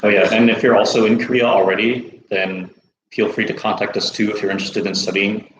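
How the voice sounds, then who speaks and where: An American man hosting a casual livestream Q&A, relaxed and chatty, laughing easily. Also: lowish voice, fairly quick, roomy sound, a little hiss or hum, rough recording. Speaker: host.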